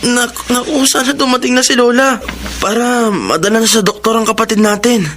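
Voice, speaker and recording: A man's voice: very high voice, fairly even intonation, moderate pace, a bit bright, a little hiss or hum. A man playing a timid, worried teenage Filipino grandson in a radio drama, with hesitant delivery.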